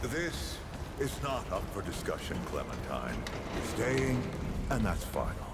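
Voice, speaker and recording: A gravelly, deep-voiced old settlement leader. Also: male voice, sing-song, heavy background noise. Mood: stern. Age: older. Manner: unhurried, musing, folksy. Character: old male settlement founder, folksy, wry, world-weary storyteller. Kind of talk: video game character dialogue.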